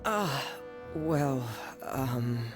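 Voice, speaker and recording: A woman voicing a shy, hesitant teenage boy in a video game, with halting, timid delivery. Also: very deep voice, expressive, exaggerated voice. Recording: game music playing behind.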